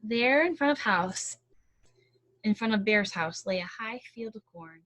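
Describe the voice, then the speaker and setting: Animated, bright-voiced woman reading a storybook. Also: mid-range voice, a little slow, no room sound, poor audio. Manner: expressive, chatty, explanatory. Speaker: storybook reader. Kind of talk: animated read-aloud with casual commentary.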